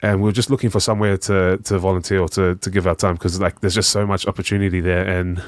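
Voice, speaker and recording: A young man with a New Zealand accent, talking as a podcast guest in a relaxed, rambling way full of fillers. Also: deep voice, fast, no room sound. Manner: relaxed, rambling, lots of "you know" and "um", says "bro". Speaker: guest, charity founder. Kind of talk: casual podcast conversation.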